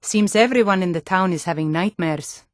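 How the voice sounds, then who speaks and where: A woman's voice: on the low side, moderate pace, no room sound, studio quality, close to an everyday voice. A woman playing an earnest, hardy Nord townswoman and guard, delivering short, weighty lines for a fantasy video game. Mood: wry.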